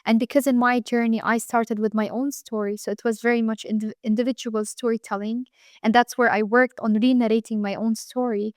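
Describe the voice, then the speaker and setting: A woman's voice: fairly high pitch, little pitch movement, brisk, dry recording, studio quality. A woman in her twenties from Lebanon, a guest in an interview, talking earnestly and reflectively with many "um"s and "like"s. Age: 20s. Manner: earnest, frequent fillers like "um" and "like".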